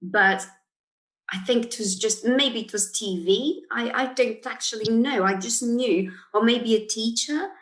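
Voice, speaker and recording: A woman's voice: fairly high pitch, measured pace, a bit bright, low-quality recording. A woman in her forties from Portugal, a guest on an interview podcast, thinking aloud and laughing often. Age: 40s. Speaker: guest, solicitor. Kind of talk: casual interview chat.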